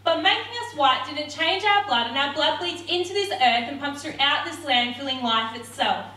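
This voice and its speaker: A woman's voice: sits high, lively intonation, moderate pace, bright, forceful tone. A young woman performing spoken word poetry with a rhythmic, defiant delivery. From Australia. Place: theatre stage. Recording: roomy hall, mic at a distance.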